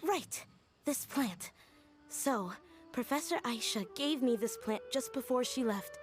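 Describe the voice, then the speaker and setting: High-voiced, wistful young-sounding game heroine played by an actress. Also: female voice, natural voice. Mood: groggy, reflective. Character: earnest, wistful adult woman recalling her childhood tutor. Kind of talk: video game voice acting. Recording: music behind the voice.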